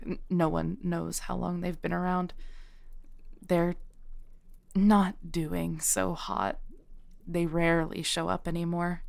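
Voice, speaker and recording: A woman playing a kindly modern witch shopkeeper, patient, wry and reassuring, in an intimate scripted audio roleplay, speaking gently as she explains. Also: on the low side, level tone, slow, creaky, pauses often. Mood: patient explaining.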